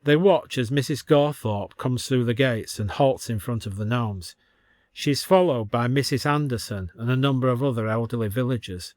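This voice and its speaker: A man's voice: mid-range voice, normal speed, a little mellow, dry recording, clean studio sound. A man narrating a scripted story as an audiobook reader.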